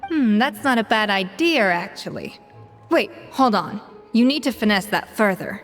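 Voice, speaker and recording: A woman's voice: mid-range voice, expressive, big-room sound, lightly acted. A woman voicing a thoughtful veteran chef. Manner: reflective, measured storytelling with sighs. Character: seasoned woman head chef, thoughtful and precise. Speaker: chef character. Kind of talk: video game voice acting. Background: soft game music.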